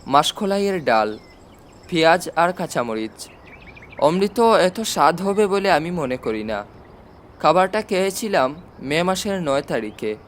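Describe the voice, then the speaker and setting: A man's voice: sits high, slight background noise. A man voicing an affectionate, confident army chief uncle in a storybook reading, with a fatherly, explanatory manner.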